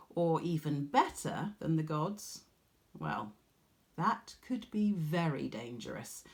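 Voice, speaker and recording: A woman reading a storybook aloud like a teacher, expressive, with dramatic pauses. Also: low-pitched.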